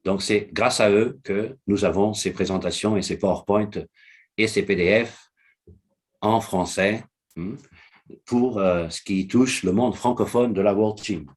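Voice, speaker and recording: Low husky-voiced Portuguese-native man presenting. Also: unhurried, darkish tone. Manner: repeats key phrases, checks in with listeners often. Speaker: business leader presenting to his network. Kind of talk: motivational online presentation.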